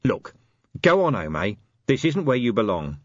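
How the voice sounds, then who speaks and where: A man voicing a weary, gruff police commander in an audiobook, terse, dry-humoured and commanding. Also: medium pitch, big pitch swings, normal speed, dry recording, lo-fi sound. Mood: gruff, coaxing.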